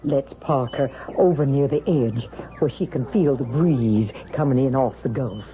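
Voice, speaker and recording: An actress playing an attentive, solicitous sanatorium nurse in a 1970s radio drama. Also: female voice, extremely low pitch, unhurried. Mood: bright courtesy. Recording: slightly dull, faintly noisy vintage radio.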